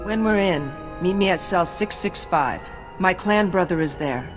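A woman's voice: lowish voice, a little slow, lightly acted. An actress playing a stern, guarded female warrior in a video game, speaking in a terse, solemn, commanding way. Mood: guarded, businesslike. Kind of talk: video game cutscene acting. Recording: long reverb under game music. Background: music.

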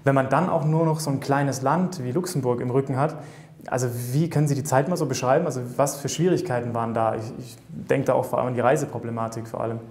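A man's voice: mid-range voice, fairly even intonation, fast talker, darkish tone. A man in his thirties interviewing a sports guest, asking friendly, chatty questions with frequent fillers. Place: bright office room with plants. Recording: roomy office echo.

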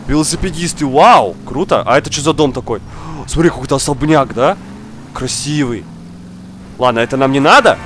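A young man, slightly under the weather, giving excitable casual gameplay commentary, exclaiming, repeating words and talking straight to the viewer. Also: on the high side, slight background noise. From outside Russia. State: slightly ill, coughing now and then. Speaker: gaming streamer. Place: indoor room, seated at racing wheel.

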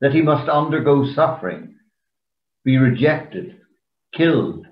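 An elderly man giving a measured sermon, reading a meditation from notes. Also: medium pitch, animated. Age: older, around 80. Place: small room at home, indoors. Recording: roomy, dull webcam sound.

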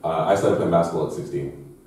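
Brief: low, mellow man in his twenties from Canada, fast and easygoing, picked up from across a classroom. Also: a little hiss or hum. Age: 20s. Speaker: basketball player. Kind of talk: casual classroom Q&A. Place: classroom, standing at the front. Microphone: far camera. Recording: echoey classroom from far off.

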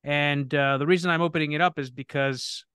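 A man's voice: fairly high pitch, fairly quick, smooth, no room sound, clean studio sound. A man hosting a live podcast Q&A, talking energetically and often restarting his sentences. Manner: energetic, self-correcting with restarts. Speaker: podcast host. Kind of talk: live Q&A conversation.

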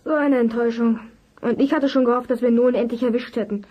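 A woman acting a youngster in a radio play, high and husky, talking rapidly. The sidekick is curious and excitable. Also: highs cut off, close to an everyday voice. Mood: excited. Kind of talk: German audio drama acting.

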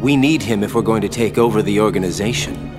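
An actor voicing a cool, calculating male villain in a game, speaking in a calm, measured and cold way. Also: fairly low pitch, natural voice. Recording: game cutscene with loud music.

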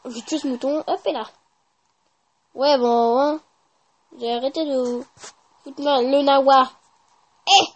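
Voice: sits high, fairly even intonation, slow pace, long pauses. A gaming YouTuber giving casual, informal gameplay commentary with slang and swearing.

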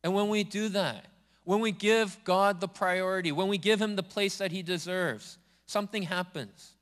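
A man preaching a sermon as a pastor, speaking earnestly in a steady, repeating preaching cadence. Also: sits high, moderate pace, in a large hall. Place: church sanctuary.

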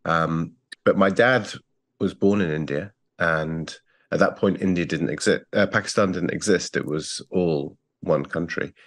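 Deep-voiced English man, measured. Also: fairly bright tone. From England. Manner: thoughtful, measured, with frequent restarts. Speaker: guest. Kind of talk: reflective interview talk. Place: plain-walled home room. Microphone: earbuds on a video call.

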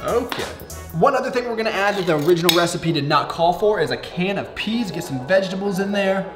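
Upbeat fitness vlogger in his twenties, slightly high voice, chatty to camera, handheld mic, music bed underneath. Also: lively intonation, fast. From Texas. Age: 20s. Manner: upbeat, chatty, joking asides to viewers. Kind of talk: casual vlog talk to camera. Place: gym, outdoors walking, home kitchen. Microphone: camera mic, handheld at arm's length.

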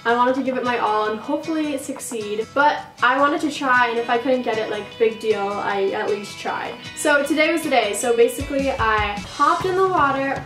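A woman's voice: on the high side, roomy sound, recorded from a distance. A young woman chatting to camera for her vlog in a bedroom, bubbly and chatty, full of "like" and "so.". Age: young adult. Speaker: vlogger. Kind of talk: casual vlog chat to camera. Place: bedroom, indoors.

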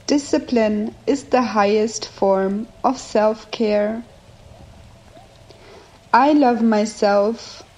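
A woman narrating a scripted guided meditation with affirmations, in measured short phrases separated by long pauses. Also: a little high, a little mellow, low-quality recording. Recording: nature ambience behind the voice. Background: nature and ambient sounds.

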